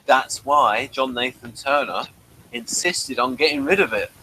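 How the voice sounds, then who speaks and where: Bright, forceful man hosting a chat. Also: medium pitch, a little slow, slight background noise, poor audio. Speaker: podcast host. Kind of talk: casual chat review.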